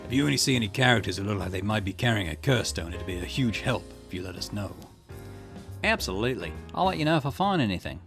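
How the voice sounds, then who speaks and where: A man's voice: quick pace, vocal fry. Man reading game lines over music. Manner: switches between character lines with lively pitch swings. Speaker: gaming channel host. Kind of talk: reading game dialogue aloud in a let's play. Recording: game music playing underneath.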